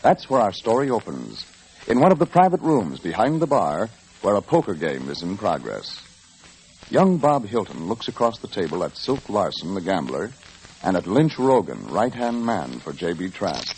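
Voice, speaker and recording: Dramatic, animated vintage radio announcer man. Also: sits low, moderate pace, a little hiss or hum, rough recording. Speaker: announcer and narrator. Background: music.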